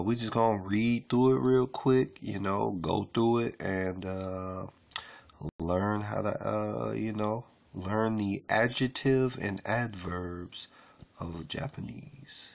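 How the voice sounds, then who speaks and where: A man casually streaming as he works through a language lesson, rambling with fillers and reading aloud haltingly with restarts. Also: on the low side, gravelly, highs cut off. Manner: rambling, loose and unpolished, with many fillers. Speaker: self-taught learner reading a lesson. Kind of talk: casual screen-share stream.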